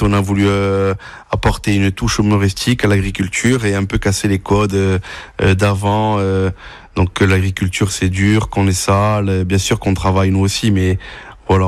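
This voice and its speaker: A man's voice: sits low, no room sound. A young man from Corsica, a farmer, answering radio interview questions in a hesitant way with many fillers. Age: young adult.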